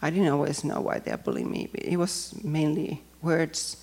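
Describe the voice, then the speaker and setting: A woman from Finland with a Finnish accent, speaking English as a second language and giving a personal testimony in a careful, hesitant way with frequent pauses. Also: low voice, huge pitch swings, creaky, a little mellow. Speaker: church member giving testimony.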